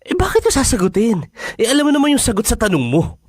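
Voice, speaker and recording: Evasive male lover, swooping high. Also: huge pitch swings, quick pace, breathy edge, no room sound, studio quality, full character voice. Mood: evasive, dismissive. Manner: dismissive, exasperated. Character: evasive, commitment-shy male casual lover. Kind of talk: Filipino radio drama acting.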